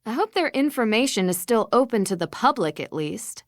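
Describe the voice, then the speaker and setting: A woman's voice: fairly high pitch, sing-song, normal speed, no echo, studio quality. A woman playing an inquisitive, observant young detective in a video game. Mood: musing, curious. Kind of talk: game voice acting, musing observations.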